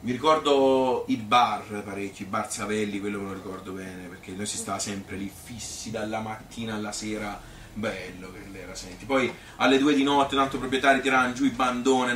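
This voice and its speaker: A man's voice: lowish voice, fairly bright tone, close to an everyday voice. A male actor playing a chatty Tuscan man who reminisces about his youth in a colloquial, rambling stage monologue, full of repetitions and appeals for agreement. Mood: wistful. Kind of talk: spontaneous-sounding theatrical storytelling. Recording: echoey room, distant mic, faint noise.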